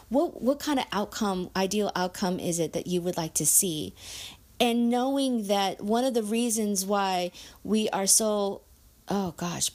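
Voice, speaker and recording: A woman giving a frank, earnest personal monologue, thinking aloud and restarting her sentences as she goes. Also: medium pitch. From United States.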